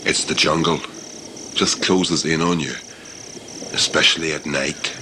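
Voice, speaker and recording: Noisy ambience surrounds a low-pitched man acting as a gruff jungle hunter. He is world-weary and cynical, speaking in blunt, clipped phrases as in a sci-fi audio play. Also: steady tone, measured pace. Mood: terse, wary. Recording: noisy amateur recording with background ambience.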